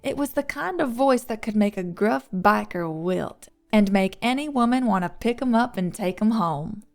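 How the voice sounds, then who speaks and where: Gravelly-edged woman narrating fiction. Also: mid-range voice, expressive, normal speed, a little mellow. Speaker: audiobook narrator. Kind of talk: scripted fantasy story narration.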